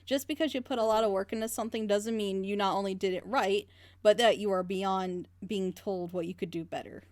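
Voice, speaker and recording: A woman's voice: medium pitch, steady tone, fairly quick, a little mellow, dry recording, studio quality. A woman in a casual group reaction chat, talking earnestly and passionately in long, rambling stretches. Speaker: reaction group member leading the discussion.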